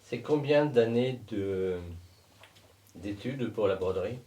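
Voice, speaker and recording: A male interviewer heard a little away from the microphone. His voice sits slightly low, and he puts short, informal questions to a guest in a casual studio chat. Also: expressive, takes it slowly, dark tone. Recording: voice distant from the mic.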